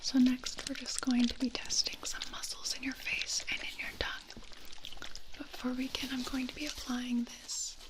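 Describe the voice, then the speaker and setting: A woman doing an ASMR medical roleplay as a doctor, mostly whispering breathily and softly, up close to a binaural microphone. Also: high-pitched, little pitch movement, normal speed. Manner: soft, up-close personal attention. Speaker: ASMR roleplayer playing a doctor giving an exam.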